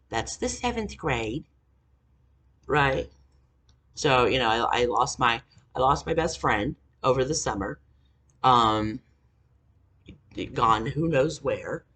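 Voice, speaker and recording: A man in his forties telling a personal story to camera, halting with fillers and angry swearing. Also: mid-range voice, fairly bright tone, breathy edge. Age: 40s. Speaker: vlogger. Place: indoors, dim room.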